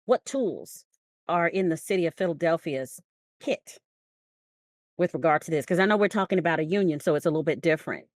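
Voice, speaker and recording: American female podcast co-host, notably low voice, close dry sound, emphatic conversational storyteller. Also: lively intonation, brisk, no echo. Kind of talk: conversational discussion.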